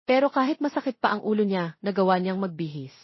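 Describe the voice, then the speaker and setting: A female audiobook narrator reads scripted romance. Her voice is mid-pitched with a slightly husky texture. The recording is close and dry but a little dull. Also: animated, fast talker, no room sound.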